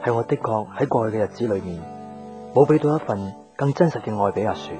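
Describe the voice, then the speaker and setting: A man's voice: fairly low pitch, lively intonation, quick pace, natural voice. An actor playing an earnest, devoted young man in a reflective radio drama monologue, in Hong Kong Cantonese. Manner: sincere, reflective. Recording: music under the voice. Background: guitar music.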